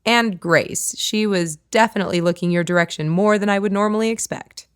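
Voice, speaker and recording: A woman's voice: medium pitch, fairly quick, clean tone, no room sound, studio quality, close to an everyday voice. A woman voicing a teasing, goading woman friend in an audiobook. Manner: playful, goading.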